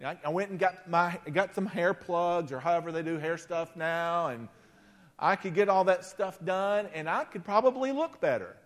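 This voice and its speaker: A man in his forties delivers a sermon with a notably high voice, speaking plainly and conversationally. He peppers his points with quick asides and gentle self-mockery. The sound rings with a long reverb, as in a church sanctuary. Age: 40s. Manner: conversational, self-deprecating, frequent "right?" asides. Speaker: pastor.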